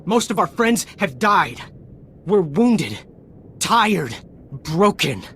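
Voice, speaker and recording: A man's voice: high-pitched, very animated, slow, fairly bright tone, a little hiss or hum, full character voice. An actor playing a worn-out soldier who has deserted, stammering and nervous as he talks. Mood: bitter. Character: weary young male deserter soldier, nervous. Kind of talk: video game cutscene.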